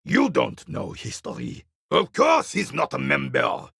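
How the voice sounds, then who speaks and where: A proud, embittered old soldier from a video game. His voice is heavily creaky and husky, with curt, scornful delivery that rises and falls in animated swings. He sounds dismissive and full of contempt for weaklings. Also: medium pitch, measured pace, no room sound, clean studio sound, heavily acted. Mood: scornful, indignant. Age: older. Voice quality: gravelly, raspy. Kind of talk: video game character dialogue.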